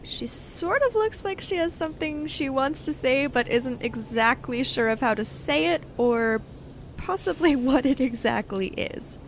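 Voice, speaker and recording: A woman playing a tabletop role-playing game, improvising narration and in-character speech in a halting, tentative way. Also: high-pitched, lively intonation. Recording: noisy track with cricket ambience.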